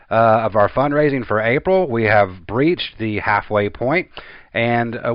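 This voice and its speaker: Man reading a steady radio announcement. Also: mid-range voice, no room sound. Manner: steady, slows down for numbers. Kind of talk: read fundraising announcement. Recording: dull, dry studio sound.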